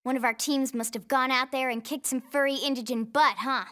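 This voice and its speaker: A woman's voice: sits high, fairly even intonation, fairly quick, background noise, lightly acted. A woman playing an eager, upbeat young female soldier in a video game, with an American accent and a breezy, slangy delivery. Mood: upbeat, impressed. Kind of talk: video game cutscene acting.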